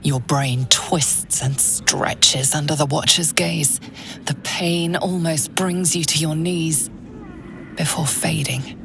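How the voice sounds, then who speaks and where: A composed, grave female narrator for a fantasy game. Her voice sits low and moves slowly through scripted storytelling. Music plays beneath it. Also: steady tone, creaky, full character voice. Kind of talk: scripted second-person narration. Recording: music behind the voice.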